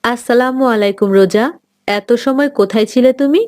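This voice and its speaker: A woman voicing a patient, caring mother in a cartoon, gently admonishing. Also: on the high side, a little fast, no echo, studio quality, natural voice. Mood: gently scolding. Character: patient mother gently scolding her daughter. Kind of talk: cartoon dialogue.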